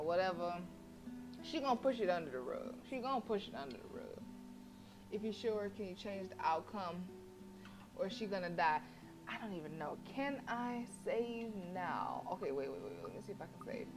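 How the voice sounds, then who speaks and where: A woman game streamer reading story narration aloud and reacting chattily and expressively on a livestream. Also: lowish voice.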